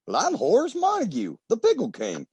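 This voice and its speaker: A man plays a lonesome, self-pitying tycoon in an old radio comedy. His voice is high and swings wildly up and down as he rambles and boasts about himself. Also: wildly swooping pitch, a little fast, a little mellow, slightly rough, dry recording, dull audio, full character voice. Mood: lonesome boasting. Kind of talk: 1940s radio comedy acting.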